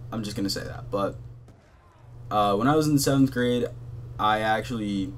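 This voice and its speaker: A young man with a low voice talks in a flat, monotone ramble, full of fillers and casual swearing. He is a gaming channel host chatting from his bedroom at his console. A faint hum sits behind his voice.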